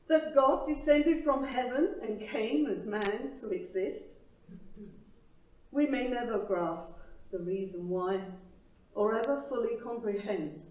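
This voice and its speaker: A woman reading her own rhymed devotional poem to a church congregation, with earnest, emphatic phrasing and soft falling line endings. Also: fairly high pitch. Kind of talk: rhymed devotional poetry recital. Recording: roomy, distant, slightly dull microphone pickup.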